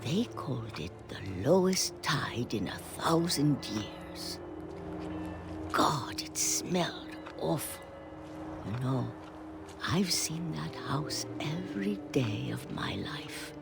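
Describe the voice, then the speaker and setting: A wistful old great-grandmother narrates an eerie memory in a video game. Her voice is very low and creaky, drawn out slowly and swinging expressively over music. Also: sing-song, heavily acted. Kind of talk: slow scripted game narration.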